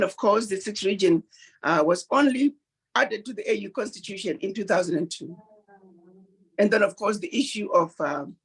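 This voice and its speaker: A woman addresses a meeting in a breathy, husky voice. She speaks emphatically and repeats her key points to drive them home. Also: mid-range voice, rough recording. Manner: acts out quoted dialogue. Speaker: speaker at a diaspora planning meeting. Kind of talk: public address.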